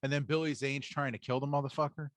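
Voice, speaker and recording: A man's voice: mid-range voice, little pitch movement, fast talker, no echo. Crude, sweary male podcast co-host, dry sound. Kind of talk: casual pop-culture chat.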